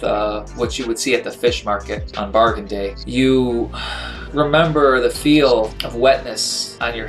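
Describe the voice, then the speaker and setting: A man working as game master, narrating a tabletop role-playing game in dramatic second person with pauses. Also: mid-range voice. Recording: music playing behind the voice.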